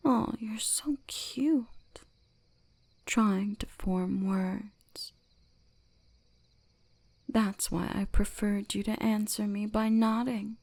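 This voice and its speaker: A woman voicing an ancient, possessive, gently dominant kitsune shrine spirit in intimate ASMR roleplay, breathy and often whispering in a soothing, commanding way. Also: mid-range voice. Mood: teasing, affectionate.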